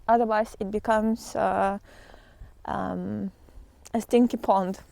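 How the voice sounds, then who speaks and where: A woman giving an informal spiritual talk to followers in a halting, reflective way with drawn-out pauses, as a vlogger out on a walk. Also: medium pitch, darkish tone.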